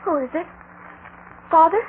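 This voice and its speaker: A woman's voice: extremely high pitch, a little slow. Earnest young woman, tinny radio. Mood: worried. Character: earnest, anxious young woman, inventor's daughter. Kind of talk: old-time radio western acting. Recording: tinny, noisy old radio recording.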